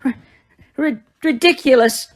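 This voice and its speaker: A woman voicing a frightened middle-aged mother, crying and stammering through her words in a shaky, high voice. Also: unhurried. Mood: hysterical.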